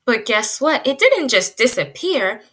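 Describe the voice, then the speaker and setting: A woman pre-K teacher giving an expressive read-aloud lesson, drawing out key words and putting guiding questions to young listeners. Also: a little high. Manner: drawn-out emphasis on key words.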